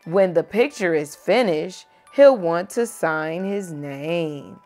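A young woman reading a picture book aloud to a child at home, gentle and expressive. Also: lowish voice, slow pace, soft background music. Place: home bedroom, indoors.

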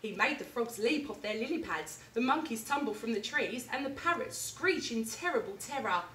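A young woman teacher reading a picture book aloud with lively, expressive storytelling, in a classroom reading corner recorded from a distance. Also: fairly high pitch, normal speed, a little hiss or hum. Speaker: primary school teacher. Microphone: camera at a distance. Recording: echoey room, mic far off.

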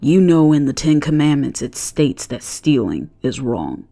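A man voicing a commanding, godlike male figure in a dramatized audio story, speaking with slow authority. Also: on the high side, very animated, creaky, dark tone, soft background music, full character voice. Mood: stern.

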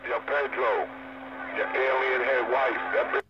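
A man of medium pitch drawls out crude banter very slowly over CB radio. The sound is dull and buried in noise. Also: flat delivery, recorded from a distance. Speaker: CB radio operator.

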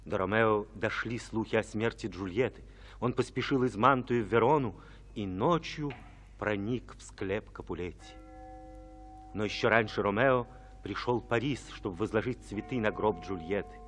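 A man narrating a radio drama from a script in a solemn, measured, dramatic way. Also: on the low side, fairly bright tone, faint music behind.

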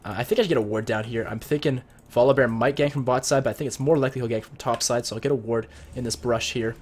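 A young man with a cold, sounding a bit husky and deeper, casually explaining strategy as he plays and comments on a video game at his computer. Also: fairly even intonation, quick pace, slight background noise. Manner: chatty, explains strategy while playing. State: sick with a cold. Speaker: gameplay commentator. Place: home room at his computer.

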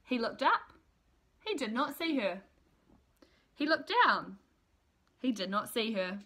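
A woman in her thirties reading a children's storybook aloud in an expressive, deliberate, emphatic way. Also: on the high side, a little hiss or hum. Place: small room at home, indoors.

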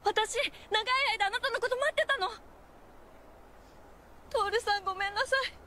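Shrill melodramatic lovelorn young woman. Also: fast. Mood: tearful, apologetic. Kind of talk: melodramatic Japanese commercial acting.

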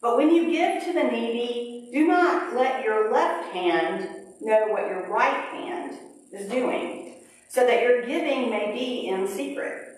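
A middle-aged woman pastor leading a prayer and reading scripture in a measured liturgical way, at a pulpit in a large church. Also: fairly high pitch, slight background noise. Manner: slow, measured liturgical delivery. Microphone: pulpit mic, far camera. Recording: very echoey sanctuary, distant camera.

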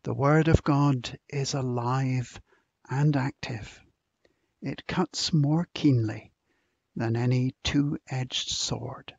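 A man, a church minister, reading a welcome, scripture and prayers in a solemn, measured, deliberate manner. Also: medium pitch, fairly even intonation, creaky, darkish tone, highs cut off. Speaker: church minister leading an online service.

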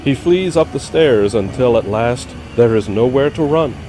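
A man narrating a horror comic in a slow, dramatic storytelling style. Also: medium pitch, lively intonation, dark tone, poor audio.

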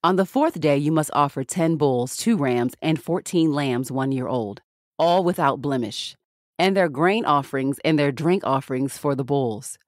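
A woman reads scripture aloud in a formal, deliberate, measured manner with clear phrasing. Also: low-pitched, lively intonation, dry recording, studio quality. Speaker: podcast scripture reader.

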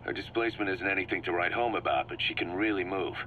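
A man's voice: low voice, fast talker, pushed and bright. A man playing a driven, shrewd mercenary commander in a video game, briefing his boss in an emphatic, assured way. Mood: matter-of-fact. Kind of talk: scripted video game dialogue, explaining plans. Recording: muffled cassette-like sound with background hum.

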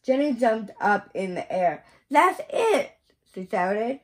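A middle-aged woman reading a children's picture book aloud in a careful, deliberate manner. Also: mid-range voice, darkish tone. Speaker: storybook reader. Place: small indoor bedroom.

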